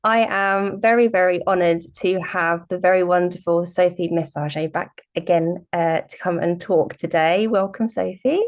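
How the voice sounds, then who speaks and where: A woman's voice: lowish voice, normal speed, few pauses. A woman hosting a podcast, chatting enthusiastically with her guest. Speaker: podcast host. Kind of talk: conversational interview.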